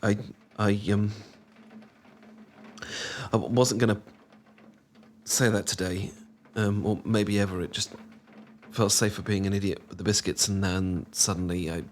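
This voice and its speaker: A British man playing a shy, tender young man in an intimate ASMR boyfriend roleplay, speaking softly and haltingly with stammers and gentle teasing. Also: fairly low pitch, steady tone, slight background noise, lightly acted. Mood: nervous, tender. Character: shy, tender young man confessing love to old friend. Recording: clear background ambience of rain. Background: rain ambience.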